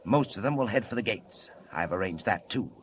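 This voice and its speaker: An actor playing a cold, scheming gang boss in prison, clipped and menacing, in 1940s radio style. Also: male voice, on the low side, animated, fairly quick, natural voice. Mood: conspiratorial.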